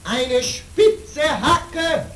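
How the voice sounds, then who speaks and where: Very slow, fervent monk. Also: male voice, very high-pitched, big pitch swings, fairly bright tone, exaggerated voice. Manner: solemn, declamatory. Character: fervent, stubborn wandering Buddhist monk in yellow robe. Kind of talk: German radio play acting. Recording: echoey, noisy old radio drama recording.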